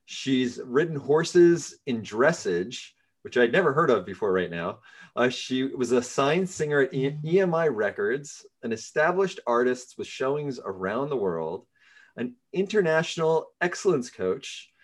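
A man hosting a casual interview podcast, relaxed, with many fillers, going soft and quiet on serious topics. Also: medium pitch, measured pace. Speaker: podcast host.

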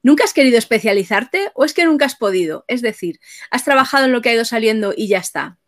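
A woman's voice: fairly high pitch, expressive, quick pace. A woman interviewing guests casually and reading out audience questions.

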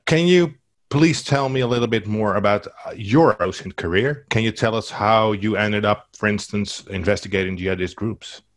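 A man's voice: lowish voice, normal speed. A man with a Dutch accent, co-hosting a webcast and introducing a guest in a friendly, hesitant way. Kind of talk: casual webcast chat.

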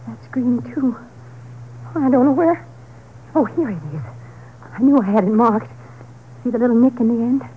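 A woman's voice: fairly high pitch, normal speed, pauses often, close to an everyday voice. A woman playing an anxious, suspicious rancher's wife in a 1950s radio drama, urgent, stubborn and emotional. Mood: anxious searching. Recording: muffled, noisy 1950s radio recording.

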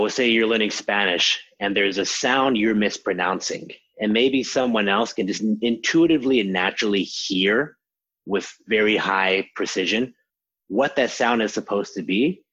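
A man, a language teacher, answering interview questions in an animated way and acting out quotes. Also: fairly low pitch, husky, highs cut off. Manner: animated explainer, acts out quotes. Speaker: language teacher, podcast guest.

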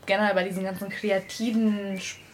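A woman's voice: mid-range voice, flat delivery, fairly quick, a little hiss or hum. A young woman hosting a casual podcast, asking hesitant questions full of fillers. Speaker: podcast host.

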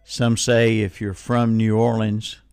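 A man's voice: lowish voice, completely flat delivery, slow, gravelly, darkish tone, smooth, no room sound, studio quality. A man hosting a live radio call-in show in a casual, folksy, rambling way, playfully repeating himself. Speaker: radio host of a call-in swap shop and music show. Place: radio studio. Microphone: close studio microphone.

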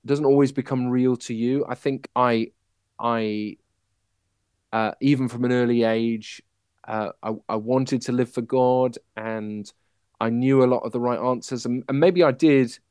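Slow, hesitant man with smooth voice. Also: medium pitch, steady tone, a little mellow, dry recording, studio quality. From Manchester, England. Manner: hesitant, reflective, halting. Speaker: podcast guest, musical writer. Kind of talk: personal storytelling in an interview.

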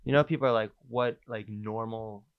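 A young man with a low, gravelly voice chats on a podcast, recorded close and dry. He talks in a relaxed, halting way, full of little fillers. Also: dry recording, phone-quality sound. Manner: relaxed, hesitant, many fillers. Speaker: podcast co-host. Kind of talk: casual chat.

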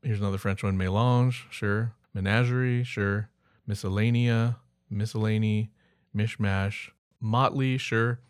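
A man's voice: lowish voice, fairly even intonation, a little slow, smooth, dry recording, studio quality. A man co-hosting a podcast in casual, humorous chat, reading out words and rambling into offhand asides. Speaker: podcast co-host.

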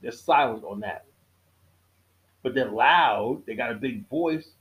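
A middle-aged man hosting his own podcast, giving a casual monologue with a preachy tone, repeating key lines for emphasis, often halting and restarting. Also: mid-range voice, phone-quality sound. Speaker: podcast host.

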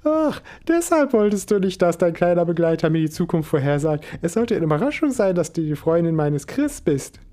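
A man's voice: high-pitched, big pitch swings, a little fast, hardly any pauses, mellow, voice pushed up. A man voicing a cheerful middle-aged esoteric mother who talks in a gushing way.

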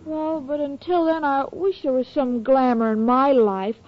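A woman's voice: high voice, measured pace. A woman playing a sweet, naive, guileless young woman, eager to help, in 1940s radio sitcom style on a muffled old broadcast. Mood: adoring, earnest. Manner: guileless, earnest, dim-witted delivery.

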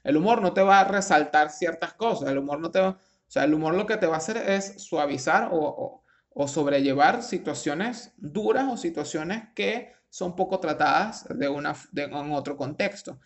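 A man's voice: on the high side, normal speed. A young Venezuelan man with a Venezuelan accent, casually commenting on a news article, rambling with restarts and slang. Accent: Venezuelan Spanish.

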